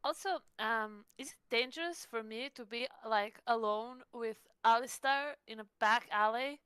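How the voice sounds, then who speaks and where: Bright, high-voiced woman at a game table. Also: unhurried, clean tone, dry recording. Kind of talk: casual table talk, asking questions.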